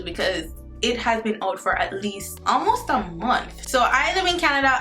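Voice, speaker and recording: A woman's voice: medium pitch, a bit bright. Chatty Jamaican woman vlogging skincare. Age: 20s. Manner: chatty, upbeat, addresses viewers directly. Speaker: beauty vlogger. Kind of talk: casual skincare vlog. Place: indoor room at home, not a bathroom.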